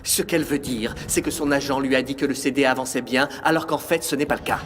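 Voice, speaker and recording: A man's voice: mid-range voice, fast talker, fairly bright tone, natural voice. An actor playing a persistent, anxious record label man in a French dub. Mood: insistent.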